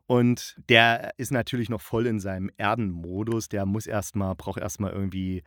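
A man's voice: on the low side, fairly even intonation, normal speed, fairly bright tone, no room sound, clean studio sound. A man talking casually and colloquially as a podcast host reviewing audio dramas, with a tongue-in-cheek way of putting things. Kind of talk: casual chatty review monologue.

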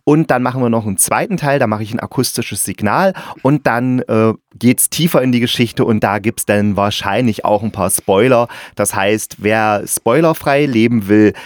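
A man's voice: lowish voice, dry recording, clean studio sound. A man hosting an interview podcast in an informal, chatty way, often correcting himself as he goes. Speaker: podcast host and radio drama critic. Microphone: close mic in a remote online call.

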